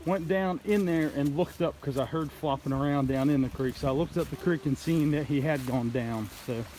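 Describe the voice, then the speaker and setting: A man telling a story casually in a halting, rambling way, like an outdoor vlog host talking to the camera. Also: on the high side, dark tone. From United States. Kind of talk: casual play-by-play hunting storytelling. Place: outdoors in woods by a creek. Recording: noisy outdoor ambience.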